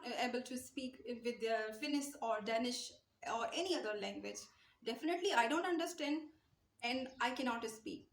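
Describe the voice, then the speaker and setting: A woman's voice: high-pitched, reverberant, a little hiss or hum, low-quality recording. A young Pakistani woman with a Pakistani accent gives an earnest English-speaking lesson straight to camera, repeating her points and gesturing with her hands. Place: small indoor room, light wall behind.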